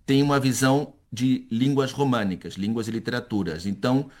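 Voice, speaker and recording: An older man, an academic, answering in a conversational interview, halting and repeating words as he searches for them. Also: medium pitch, fairly even intonation, a little mellow. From Chile-born son of Brazilian exiles, later Spain. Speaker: academic, university professor, interview guest.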